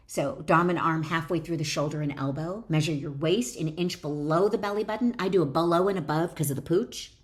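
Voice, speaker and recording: A woman reading her own wellness book aloud in an emphatic, instructional way, often breaking off into chatty asides. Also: fairly low pitch. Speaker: author and wellness educator.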